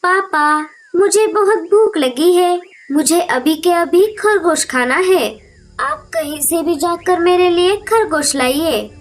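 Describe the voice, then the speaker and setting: A woman's voice: extremely high pitch, takes it slowly. A woman voicing a spoiled, rude young bird princess in a cartoon story, demanding and bratty. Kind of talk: Hindi cartoon story acting.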